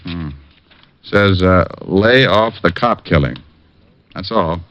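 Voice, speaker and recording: An actor playing a wisecracking private detective who narrates, in a 1950s radio drama. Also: male voice, sits low, slow pace, a bit bright, dry recording. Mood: wry. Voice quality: wry, dry wisecracking. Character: wisecracking battered private eye. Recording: dull old radio transcription.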